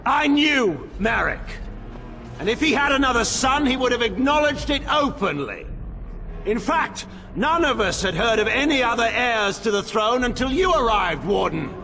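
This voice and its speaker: A proud, scornful general addresses others in theatrical fantasy game style. His voice swings dramatically and has some gravel as he declaims with a commanding sneer over music. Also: very high voice, very animated, normal speed, pushed and bright, in a large hall, full character voice. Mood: dismissive suspicion.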